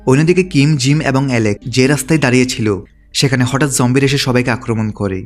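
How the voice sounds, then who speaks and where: A man narrates from a script at a brisk pace. His voice sits at an average pitch and keeps a steady storytelling flow. Music plays behind him. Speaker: movie recap narrator. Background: background music.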